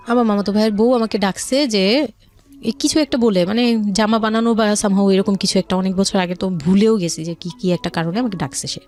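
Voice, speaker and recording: Female interview guest, Bangladeshi accent, medium pitch, halting personal storytelling over background music. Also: darkish tone. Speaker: guest telling her life story. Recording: music under the voice.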